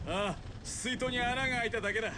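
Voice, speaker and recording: A man's voice: very high-pitched, fast, noisy background. A man playing a cool-headed young army spotter on the run, who talks in a dry, sardonic way.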